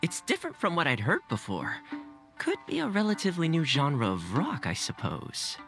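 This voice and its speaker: Amiable, animated young man. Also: on the high side, normal speed, soft background music, full character voice. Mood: thoughtful. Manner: amiable, musing, encouraging. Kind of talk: animated video game voice acting.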